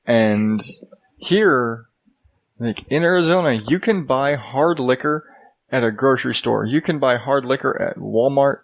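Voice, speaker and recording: A man in his early thirties co-hosting a casual podcast chat with wry, deadpan jokes. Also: mid-range voice, unhurried, vocal fry. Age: 30s. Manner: dry, wry joking. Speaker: podcast co-host. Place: home in Arizona.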